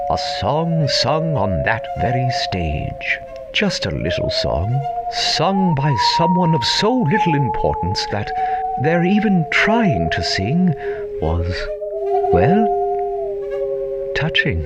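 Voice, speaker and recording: An actor playing a whimsical, wistful storyteller narrating a radio fable theatrically, with deliberate dramatic pauses. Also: male voice, a little high, heavily acted. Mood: tender, dramatic. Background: prominent music.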